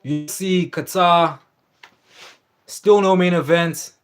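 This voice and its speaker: A man's voice: on the high side, steady tone, slow pace, clean tone. A young man hosting a livestream, chatting casually and rambling with many fillers and restarts. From South Africa. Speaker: livestream host. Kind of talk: casual livestream chat about fights.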